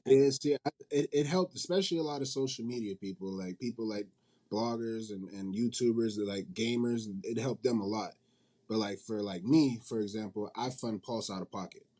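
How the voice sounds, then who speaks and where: A man's voice: fairly low pitch, normal speed, warm tone. A man speaking informally as an interview guest, colloquial and repeating points for emphasis. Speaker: guest, indie manga publisher and company CEO. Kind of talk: casual interview chat.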